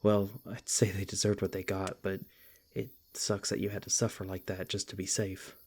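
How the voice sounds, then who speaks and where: A man playing a protective, tender monster hunter in an ASMR roleplay, speaking in a gentle, halting, earnest way with a husky, breathy voice. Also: low voice, little pitch movement, slight background noise. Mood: sympathetic. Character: protective, tender male monster hunter comforting his beloved. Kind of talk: ASMR roleplay, soft intimate acting.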